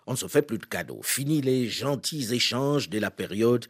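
A man hosting a history radio program, reading scripted documentary narration in a measured, solemn way. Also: medium pitch, lively intonation, a little hiss or hum.

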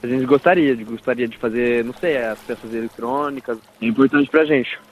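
A man from France with a medium-pitched voice gives an interview answer. He speaks haltingly, repeating and correcting himself. There is light noise in the background. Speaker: interviewee, project developer. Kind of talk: interview explanation. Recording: noisy ambient background.

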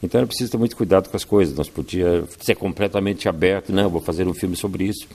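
An older man from Brazil with a very deep, low voice tells his story in an interview. He speaks at a measured pace and often tags his sentences with "né". He talks like a guest who is used to telling stories. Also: dark tone. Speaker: guest, writer and filmmaker. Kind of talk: reflective interview answers.

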